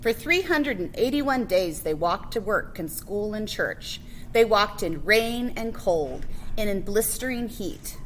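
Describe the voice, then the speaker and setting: A woman's voice: mid-range voice, a bit bright, slight background noise, poor audio. A woman reading a children's picture book biography aloud as a librarian, phrase by phrase with emphasis on key words.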